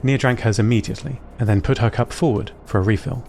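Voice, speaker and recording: A man as a measured, earnest robot narrator, music behind. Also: medium pitch, vocal fry, darkish tone, natural voice. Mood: measured, observant. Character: robot narrator recounting human behaviour, observant and earnest. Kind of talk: scripted audio fiction narration.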